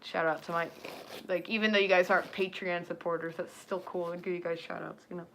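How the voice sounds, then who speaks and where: A woman's voice: lowish voice, flat delivery, brisk, slight background noise, poor audio. A young woman chatting casually on a livestream, rambling with lots of fillers and talking straight to her viewers. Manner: rambling, filler-heavy, talks to chat. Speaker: furry livestreamer.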